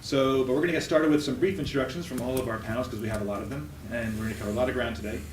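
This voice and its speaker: A man's voice: mid-range voice, very fast, noisy background. A man moderating a conference panel, speaking casually with many fillers. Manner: relaxed, many fillers, thinks aloud. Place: conference room at a trade event. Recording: roomy echo, mic from a distance, faint ambience.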